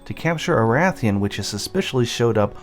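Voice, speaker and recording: A man's voice: mid-range voice, lively intonation, brisk. A man giving light scripted narration over game cutscenes, explaining the story as he goes. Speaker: narrator and channel host. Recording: music behind the voice. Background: game music.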